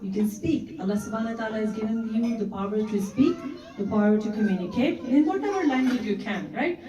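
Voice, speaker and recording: Middle-aged woman, native Urdu speaker, earnest community talk, mellow tone, echoey hall, distant mic. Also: a little high, lively intonation, quick pace, highs cut off. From Canada resident. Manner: earnest, often adds "right?". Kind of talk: speech to a women's gathering.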